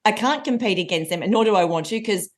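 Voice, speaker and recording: Animated woman in her forties. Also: mid-range voice, fast talker. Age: 40s. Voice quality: loud voice. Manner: energetic, animated storytelling with laughs. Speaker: guest, confidence coach. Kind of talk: casual podcast conversation.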